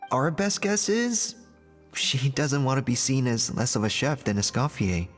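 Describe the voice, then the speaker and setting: A man voicing a thoughtful male kitchen staffer in a game who explains things gently. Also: medium pitch, very animated, measured pace, soft background music. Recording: game audio with reverb.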